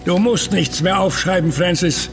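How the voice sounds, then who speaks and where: An intense, earnest uncle who watches the stars in an audio drama. His voice sits high for a man, with soft music underneath. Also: moderate pace. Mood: grave. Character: excitable uncle, amateur astronomer turning gravely resigned. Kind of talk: German audio drama acting.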